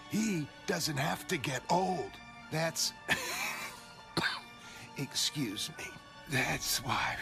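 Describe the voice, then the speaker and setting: A man as a bitter, higher-pitched wronged woman. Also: gravelly, full character voice. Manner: bitter, wounded, confessional. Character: bitter, sick, wronged ex-girlfriend, a smoker. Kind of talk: motion comic dramatic reading.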